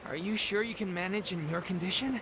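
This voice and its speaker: An actress playing an earnest, concerned young traveling companion in a video game. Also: female voice, mid-range voice, quick pace, a crowd in the background, close to an everyday voice, low-quality recording.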